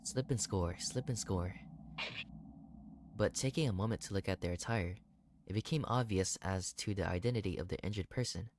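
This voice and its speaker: Expressive man doing a game let's play. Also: low voice, normal speed. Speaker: gaming video host. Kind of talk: game let's play, reading visual novel narration aloud with reactions.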